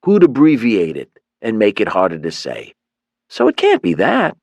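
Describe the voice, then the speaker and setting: A man's voice: on the low side, huge pitch swings, moderate pace, darkish tone, dry recording, lo-fi sound, pitched above the performer's own voice, full character voice. A man voicing a swaggering, toothpick-chewing male thug leader who is dim but menacing, in a slangy, cocky manner. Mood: puzzling.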